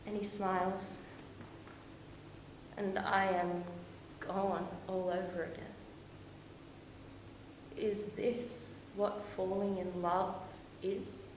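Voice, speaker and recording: Woman playing young female traveller in stage monologue: confiding, expressive, bright mid-range voice, roomy sound. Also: medium pitch. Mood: tender, dreamy. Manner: confiding, expressive, with dramatic pauses. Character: young Australian woman backpacker, dreamy, lovestruck, heartbroken, homesick. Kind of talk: theatrical stage monologue, storytelling to an audience. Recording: echoey room with faint noise.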